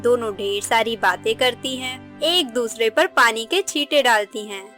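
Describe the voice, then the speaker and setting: Story narrator: woman, high voice, scripted moral-tale delivery, faint music bed. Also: measured pace, pushed and bright.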